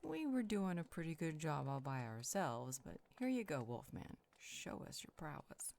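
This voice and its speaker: Woman as a low-voiced, teasing vampire healer. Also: sing-song, normal speed, gravelly, a little mellow, full character voice. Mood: amused, teasing. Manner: playful, teasing. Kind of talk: audio roleplay acting.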